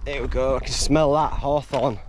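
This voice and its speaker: Tired, chatty hiker outdoors. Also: male voice, mid-range voice, fairly even intonation, normal speed, a little mellow, slight background noise. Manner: chatty, laughs often, tired and rambling. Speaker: hiking vlogger. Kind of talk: casual vlog chat and map recap. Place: outdoors on a country road and moorland. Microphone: camera held at arm's length.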